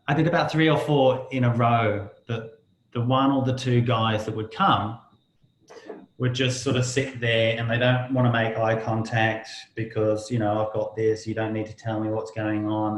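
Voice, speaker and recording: A man hosting a casual interview in a rambling, dryly joking way. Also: medium pitch. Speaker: podcast host. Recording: roomy, echoey sound.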